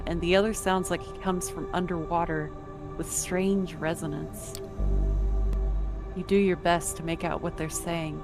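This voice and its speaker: A woman reads scripted story narration, addressing the listener as the main character. Her voice is somewhat low. Music plays clearly behind her.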